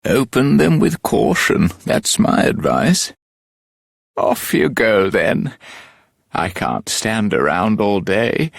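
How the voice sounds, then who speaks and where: Creaky, drawling, eccentric old dreamer. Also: male voice, lowish voice, big pitch swings, takes it slowly, no echo, studio quality, exaggerated voice. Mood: advising, dismissive. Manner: drawling, whimsical, theatrical. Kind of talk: dramatic fantasy video game voice acting.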